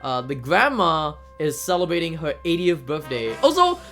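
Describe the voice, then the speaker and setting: A man's voice: a little high, big pitch swings, moderate pace, fairly bright tone. A man giving casual, hesitant live commentary as he speedruns a video game, often repeating words. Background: game music.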